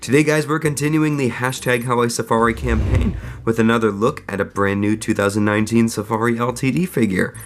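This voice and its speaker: A young man with a cold, hosting a casual, enthusiastic toy review video full of fillers and quick asides. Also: medium pitch, steady tone, a little hiss or hum. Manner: enthusiastic, chatty, frequent fillers. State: sick, voice affected. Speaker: toy reviewer, channel host.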